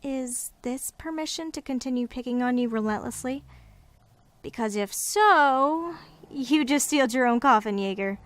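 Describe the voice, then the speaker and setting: Woman acting a high-voiced, teasing young woman. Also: expressive, normal speed, a bit bright. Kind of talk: audio drama dialogue.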